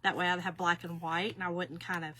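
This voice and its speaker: A woman in her early fifties walks viewers through a craft project in a loose, talkative way. Her voice has a bright, forward edge, and she keeps checking in with the audience as y'all. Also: fairly low pitch, level tone, brisk. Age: 50s. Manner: chatty, folksy, addresses viewers as y'all. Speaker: craft demonstrator. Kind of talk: casual craft tutorial.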